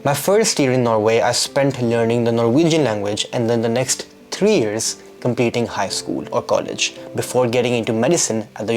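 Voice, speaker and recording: A man's voice: mid-range voice, a little mellow. A man, talking earnestly and reflectively in a scripted vlog, asking rhetorical questions. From Pakistan. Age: 20s. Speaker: YouTube creator.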